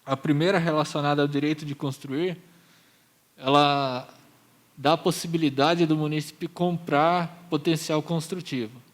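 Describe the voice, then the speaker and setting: Brazilian man presenting, higher-pitched voice. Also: fairly even intonation, measured pace. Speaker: municipal planning presenter. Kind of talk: explanatory public hearing presentation.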